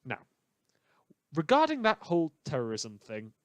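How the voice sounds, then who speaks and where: Wry, shady male radio host played by a man for an audio drama: sardonic, halting asides, theatrical emphasis, very dry sound. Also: on the high side, huge pitch swings, dry recording. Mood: wry, rambling. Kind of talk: scripted fictional radio broadcast monologue.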